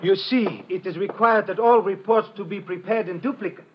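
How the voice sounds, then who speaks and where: An actor playing a polite, methodical police lieutenant in an old radio drama, speaking formally and precisely. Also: male voice, sits high, slightly rough. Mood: intent, puzzled. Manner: formal, measured, precise wording without contractions. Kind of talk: old-time radio detective drama acting. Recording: dull, noisy vintage radio recording.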